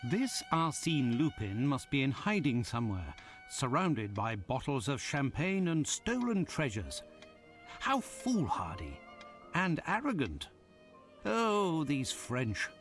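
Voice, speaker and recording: A man playing a long-winded, excitable gentleman in a video game, with a pompous, theatrical delivery. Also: mid-range voice, slow, full character voice. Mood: scornful. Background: game music.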